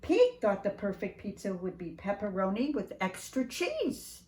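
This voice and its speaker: An older woman reading a picture book aloud to young children in an animated, sing-song way, speaking right to them. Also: mid-range voice, measured pace, reverberant. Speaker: preschool staff storyteller reading to children.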